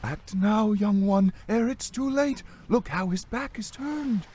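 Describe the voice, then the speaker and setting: A man's voice: high voice, slow, warm tone, slightly rough, voice pushed up, full character voice. A man voicing an eerie female ghost who speaks in a solemn, coaxing, archaic way. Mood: eerie urging. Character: eerie, soothing female ghost urging a sailor on.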